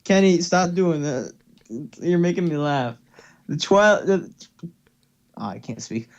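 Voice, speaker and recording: A man's voice: fairly high pitch, animated, a little slow, dull audio. A young man giving a casual amateur reading of a story, playful and stumbling, with offhand asides. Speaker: reader. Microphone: headset or desktop mic on voice call.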